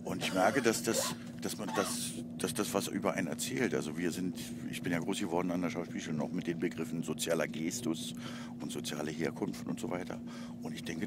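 Very deep-voiced Berlin man, halting. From Berlin, Germany. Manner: halting, many fillers and restarts, soft trailing asides. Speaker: stage actor. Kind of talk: interview answers. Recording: loud background noise.

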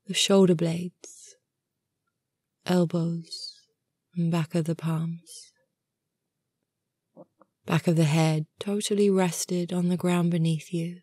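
Slow, low-pitched woman guiding meditation. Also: flat delivery, breathy edge, no echo, clean studio sound. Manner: soothing, unhurried, gentle. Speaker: yoga nidra meditation guide, channel host. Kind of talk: guided meditation narration.

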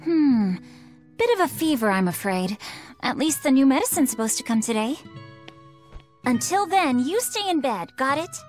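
An actress playing a caring teenage older sister in a game, motherly and gently firm. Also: high voice, big pitch swings, normal speed, soft background music. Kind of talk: video game acting.

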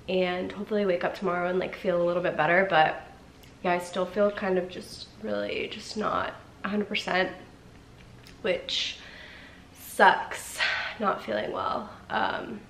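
A young woman in her twenties talks to her camera from a living-room couch, her voice nasal and congested from a cold. She rambles casually, leans on "like" a lot and lets some sentences fade out softly. The room gives her voice a noticeable echo, with a faint hiss behind it. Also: medium pitch. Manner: chatty, lots of "like" fillers, sometimes trails off quietly. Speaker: vlogger. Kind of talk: casual vlog chat to camera. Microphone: camera in front at sitting distance.

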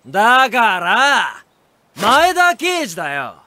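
An actor playing an easygoing, breezy young wanderer in an audio drama, chiding hotheads in casual speech as an exasperated peacemaker. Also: male voice, extremely high pitch, wildly swooping pitch, slow, sharp tone, dry recording, full character voice. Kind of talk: Japanese anime-game audio drama acting.

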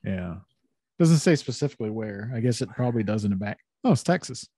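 A man's voice: lowish voice, animated, brisk, dark tone, husky, dry recording. A man co-hosting a casual podcast over a video call, joking and teasing his friends and swearing freely. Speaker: podcast co-host. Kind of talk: casual chat. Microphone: video call with desk microphone.

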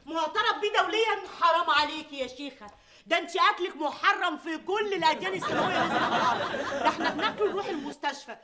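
A woman's voice: very high voice, animated, very fast, a crowd in the background. A woman playing a sarcastic, mocking Egyptian sister-in-law.

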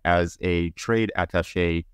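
A man's voice: deep voice, fairly even intonation, slow, clear, resonant tone, dry recording, studio quality. A middle-aged man from Zambia who later lived in Belgium, giving conversational, explanatory interview answers as a podcast guest and thinking aloud. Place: indoors on a video call.

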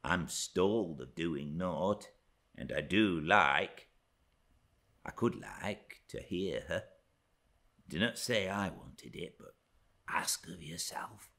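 A man's voice: mid-range voice, lively intonation, a little slow, sharp tone. A man playing an awkward, earnest young country man in an audiobook, with plain rustic phrasing. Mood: shy, muttering. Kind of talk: dramatised audiobook reading of a classic novel.

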